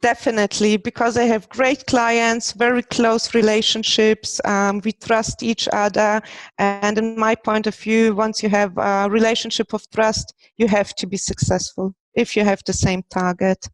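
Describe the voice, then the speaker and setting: A woman's voice: medium pitch, little pitch movement, moderate pace, no room sound. A woman in her thirties from Germany, answering conversationally as a recruiter guest on a podcast. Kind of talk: conversational interview. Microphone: headset on a video call.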